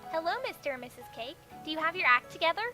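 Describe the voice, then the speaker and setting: A woman's voice: high-pitched, rapid-fire, over music, lightly acted. A woman voicing cute female cartoon ponies, cheerfully unhinged and bossy, in a parody dub. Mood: bossy, dismissive.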